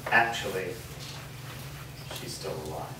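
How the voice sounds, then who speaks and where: A man's voice: deep voice, slow pace. A man playing a grave, loyal and resolute companion in a staged reading. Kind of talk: staged reading of a drama script. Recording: noisy, very echoey hall from far off.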